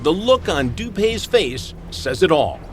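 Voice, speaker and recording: A male narrator reads a crime documentary script with a lively, animated pitch. His delivery is dramatic and a little wry. Music runs clearly underneath him. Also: measured pace. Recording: TV clip with background music.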